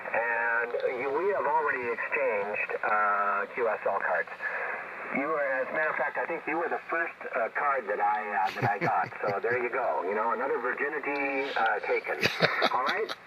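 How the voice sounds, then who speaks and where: A man's voice: medium pitch, normal speed. A man talking casually and lightly as an amateur radio operator over a shortwave link. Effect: shortwave ham radio transmission. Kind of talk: casual, jokey radio chat. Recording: distant, dull, very noisy radio signal.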